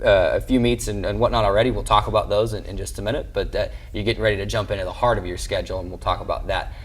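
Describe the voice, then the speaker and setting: A man hosts a sports interview in a fairly low voice. He talks conversationally and takes a winding route to each question. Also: steady tone, very fast. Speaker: show host and interviewer.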